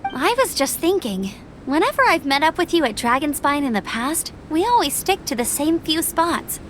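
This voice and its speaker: A woman voicing a cheerful, earnest young adventurer in a video game, chatty and expressive. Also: sits high, normal speed, slight background noise. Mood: bright, friendly.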